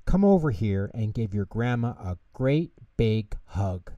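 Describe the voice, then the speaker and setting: Elderly storybook mouse grandmother acted by a male reader, affectionate yet gently commanding, unhurried mellow delivery. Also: on the low side, very animated, no echo, distant mic, highs cut off. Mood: affectionate, soothing.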